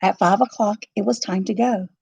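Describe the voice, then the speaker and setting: A woman narrating a children's storybook in an expressive, dramatic and deliberate style. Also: mid-range voice, gravelly, breathy edge, no room sound.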